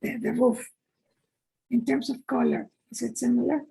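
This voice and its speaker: A hoarse woman asking questions as a board member at an informal review meeting, in a halting, polite manner. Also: a little high, fairly even intonation, dark tone.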